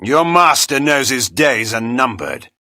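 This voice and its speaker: Imperious, sharp-edged vampire lord. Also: male voice, medium pitch, lively intonation, no room sound, studio quality. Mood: contemptuous, vengeful. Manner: theatrical, imperious, formal measured declamation. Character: proud, vengeful male vampire lord, cold and imperious. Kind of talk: dramatic video game voice acting.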